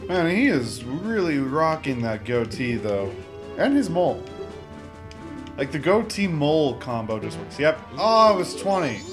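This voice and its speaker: A man's voice: fairly high pitch, very animated, measured pace. A young man giving casual, rambling gameplay commentary with informal, mock-exasperated asides. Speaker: gamer, Let's Play host. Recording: game music behind the voice. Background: video game music.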